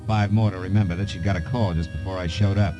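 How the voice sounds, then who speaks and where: A lowish-voiced American private eye played by an actor in 1950s radio noir, with music behind. He is cool, wry and clipped, with hard-boiled deadpan. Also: fast, highs cut off, close to an everyday voice. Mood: grim narration. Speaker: lead detective and narrator.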